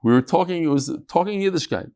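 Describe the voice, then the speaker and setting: A man's voice: fairly high pitch, expressive, fast, mellow, a little hiss or hum. A man giving an informal Talmud class, conversational and emphatic, mixing in Hebrew terms and rhetorical questions.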